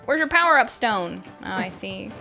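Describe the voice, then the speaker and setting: A woman's voice: mid-range voice, big pitch swings, moderate pace, gravelly, loud music behind the voice. A woman streaming a video game, reacting casually and playfully heckling the characters. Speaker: streamer, VTuber.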